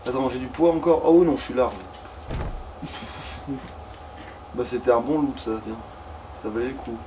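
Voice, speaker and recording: A man's voice: mid-range voice, unhurried, dark tone. A man chats casually over a video game, informal and slangy, swearing offhandedly. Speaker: let's player. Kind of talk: casual gaming chat. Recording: distant mic with background noise.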